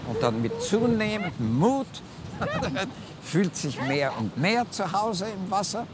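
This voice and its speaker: Ein Mann erklärt als Experte in einem Interview im Freien und schmunzelt dabei. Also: male voice, sits high, huge pitch swings, takes it slowly. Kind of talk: explaining in an outdoor interview. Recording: outdoor interview with clear traffic-like noise.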